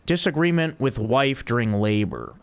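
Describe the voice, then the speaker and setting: A man's voice: medium pitch, sing-song, normal speed, vocal fry, clear, resonant tone. A man hosting a casual podcast, chatting easily and reading a listener's email aloud. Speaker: podcast host. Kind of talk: casual chat.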